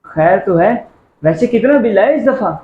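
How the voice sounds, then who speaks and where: A man's voice: high voice, expressive. A young man playing a caring, principled son and nephew in an amateur moral drama, earnest and reasoning. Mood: concerned, reproachful. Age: young adult. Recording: echoey room, dull, faint noise.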